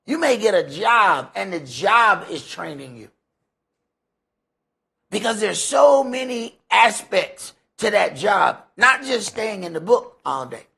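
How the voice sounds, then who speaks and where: A man's voice: high-pitched, very animated, slow pace, vocal fry, pushed and bright, husky. A man teaching scripture in an informal sermon, conversational and emphatic, repeating phrases for effect. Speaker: priest teaching a Bible study.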